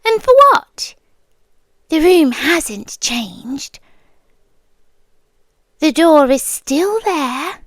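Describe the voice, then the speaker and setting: A woman's voice: sits high, big pitch swings, dry recording, heavily acted. A British woman playing a possessive yandere girlfriend in an intimate ASMR roleplay, speaking with coolly patient, condescending menace and dropping toward whispers. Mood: controlled, taunting. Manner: slow, deliberate, dropping into whispers, condescending sweetness.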